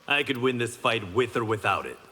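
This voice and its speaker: An actor playing a stern, honorable reformed-gangster swordsman in a video game, speaking in a measured, terse, resolute way. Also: mid-range voice, animated, lightly acted. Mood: solemn. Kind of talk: video game fight banter. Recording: reverberant hall, faint background noise.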